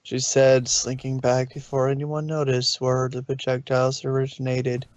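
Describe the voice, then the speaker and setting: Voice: mid-range voice, completely flat delivery, normal speed, darkish tone, no echo, highs cut off. A narrator reading a story aloud in a storybook style, sometimes stumbling over a word and correcting it. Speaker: narrator, fan fiction reader.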